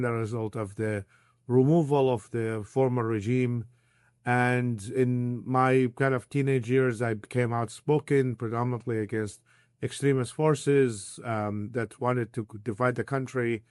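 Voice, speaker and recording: A man in his thirties, originally from Iraq, talking as a podcast guest over a video call. His voice sits at an ordinary middle pitch and sounds dry and close. He speaks earnestly and thoughtfully, often pausing with fillers and restarting his sentences. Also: clean tone, dry recording. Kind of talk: conversational interview answers. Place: remote call.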